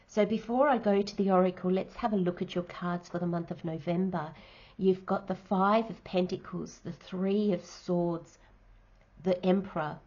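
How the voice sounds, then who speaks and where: A woman giving a tarot reading, nasal and croaky from hay fever, speaking softly and sometimes slipping into a whisper. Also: fairly low pitch, lo-fi sound. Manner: soft, unhurried, drops into whispers while laying cards. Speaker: tarot reader.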